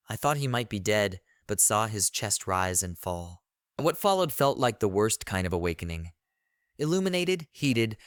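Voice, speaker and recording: A man narrates a first-person horror tale with deliberate, literary phrasing. His voice sits on the low side and is smooth and clear. The recording is dry and close, like a voice-over. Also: dry recording.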